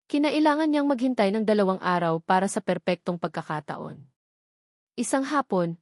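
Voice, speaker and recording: A woman narrates a dramatic story in a scripted audiobook style. Her voice sits at a medium pitch, and the sound is very dry, with little room in it. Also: a little mellow, dry recording.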